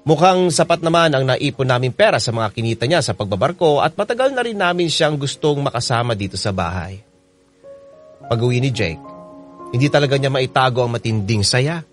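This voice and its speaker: Guy narrating a radio drama letter, medium pitch, bit of music behind. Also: very animated, brisk. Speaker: narrator reading a listener's letter. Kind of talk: scripted radio drama narration, first-person storytelling. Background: music bed.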